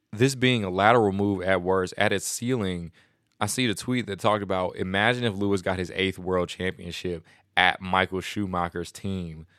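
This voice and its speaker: Low, flat-voiced man, slightly nasal. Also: clean tone, no echo, clean studio sound. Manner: excited, rambling, casual slang with restarts. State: congested from a stomach bug. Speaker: podcast host. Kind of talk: casual solo commentary.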